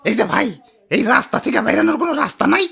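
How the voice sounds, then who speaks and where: A man's voice: very high-pitched, big pitch swings, racing, creaky, fairly bright tone, breathy edge, exaggerated voice. A man voicing a self-pitying, hot-tempered puddle of floodwater in a comic cartoon, whining melodramatically in a Bangladeshi accent. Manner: whiny, melodramatic complaining.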